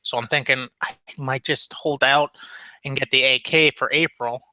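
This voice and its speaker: A man's voice: medium pitch, steady tone, normal speed, bright, forceful tone, no room sound, low-quality recording. A man chatting casually as a podcast co-host, rambling along with frequent ums and restarts. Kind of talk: casual chat about firearms.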